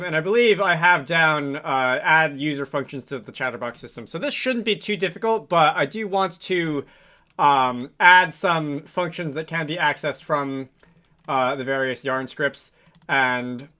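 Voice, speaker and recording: A man with a fairly high, bright and crisp-edged voice talks through a programming tutorial. He speaks casually and thinks aloud, pausing and hesitating as he works things out. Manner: halting, improvised delivery with frequent hesitations. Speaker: tutorial host, game developer.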